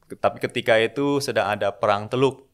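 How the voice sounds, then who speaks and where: Smooth low-voiced young man, measured. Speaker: guest, a seminarian. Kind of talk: casual podcast interview.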